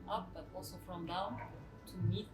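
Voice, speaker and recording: A woman's voice: medium pitch, fairly even intonation, normal speed, over music. A woman from Turkey who speaks natively, earnestly searching for words as a band member in an interview. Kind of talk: casual interview answers. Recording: distant roomy mic with loud noise.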